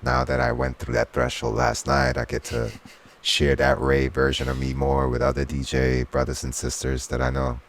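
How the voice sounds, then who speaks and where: A Swiss-born man with Swiss German as his first tongue, speaking in an extremely low, gravelly voice. He talks earnestly in a relaxed interview and often reaches for spiritual language. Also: moderate pace, a little hiss or hum. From Switzerland. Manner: earnest, spiritual turns of phrase. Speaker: guest, soul singer and DJ. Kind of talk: casual conversational interview.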